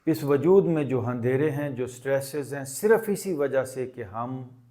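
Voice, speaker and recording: A man leading a guided meditation as a teacher, speaking deliberately and instructively in short phrases with pauses. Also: mid-range voice, mellow.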